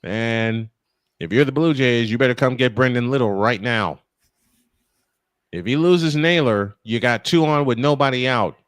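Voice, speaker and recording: A man calling baseball play-by-play and telling anecdotes between pitches. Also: normal speed, smooth, dry recording, studio quality. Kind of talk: live sports commentary with anecdotes.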